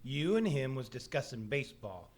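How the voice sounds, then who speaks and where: A man's voice: medium pitch, big pitch swings, normal speed, darkish tone, natural voice. A man playing an eager, folksy know-it-all son in a family, in 1940s-style radio comedy. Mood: chatty. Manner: folksy, explaining earnestly. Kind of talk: 1940s radio comedy acting, live on stage. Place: live theatre stage.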